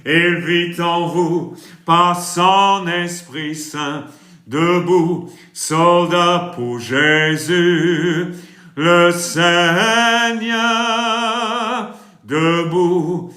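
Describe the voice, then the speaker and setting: A preacher from England with a British accent, leading a worship livestream. He sings drawn-out hymns and breaks into brisk, chatty replies to viewers. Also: fairly high pitch, pushed and bright, echoey room, soft background music. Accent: British English.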